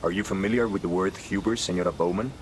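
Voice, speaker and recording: A man's voice: sits low, quick pace, close to an everyday voice. A man playing a stern Bolivian rebel leader in an action game, speaking with indignant, urgent rhetoric. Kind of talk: cinematic game dialogue. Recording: clear vehicle and ambient noise behind.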